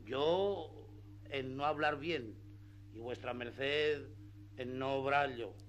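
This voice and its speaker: A man's voice: medium pitch, slow pace, slight background noise, close to an everyday voice. A man playing a simple, outspoken, good-hearted peasant squire in a radio drama, rustic and deferential yet cheeky. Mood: sheepish, stubborn. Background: faint background music.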